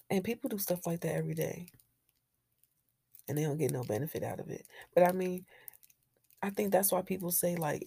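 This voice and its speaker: A woman's voice: lowish voice, creaky, dark tone, slightly rough, dry recording. A young woman hosting a solo podcast, talking in a candid, blunt way with plenty of swearing and laughter. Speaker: podcast host. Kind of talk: casual solo podcast monologue.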